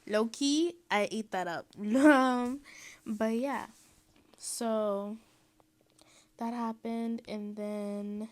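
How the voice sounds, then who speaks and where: A young woman from Mexico giving a casual, rambling voiceover, full of "um" and "like" and quick laughs. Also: fairly high pitch. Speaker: vlogger.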